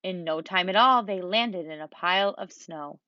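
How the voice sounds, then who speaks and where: A woman reading a children's picture book aloud in a gentle, even storytelling manner. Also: on the low side, moderate pace, no echo. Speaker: storybook reader. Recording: dull lo-fi dry recording.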